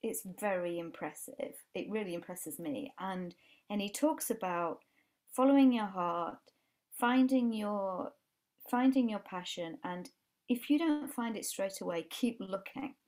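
A woman's voice: medium pitch, measured pace, a little mellow. A woman in her forties with a Yorkshire accent, a life coach guest chatting earnestly on a video call from home, often restarting her sentences. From England. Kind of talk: casual podcast chat. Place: home room with brick wall.

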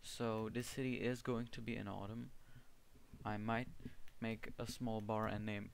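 A young man with a strong Czech accent talks slowly in a lowish voice, pausing and restarting as he hunts for the right English words. It sounds like casual commentary over a city-building game, with faint mouse clicks in the background. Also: flat delivery, creaky, slight background noise. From Czech Republic. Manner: hesitant, restarts words, searches for terms. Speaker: gaming video creator. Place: home setup with gaming PC.

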